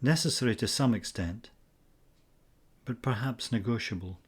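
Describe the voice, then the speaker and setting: A man narrating a scripted guided meditation in a measured, instructional way with pauses between phrases. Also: medium pitch, breathy edge.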